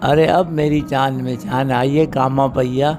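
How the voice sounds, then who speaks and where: A man's voice: medium pitch, flat delivery, unhurried, lightly acted. A man voicing a greedy, scheming villager in a cartoon story who steals a magic pot and later begs for help. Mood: grateful. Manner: sly and boastful, turns pleading when trapped. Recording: voice over background music.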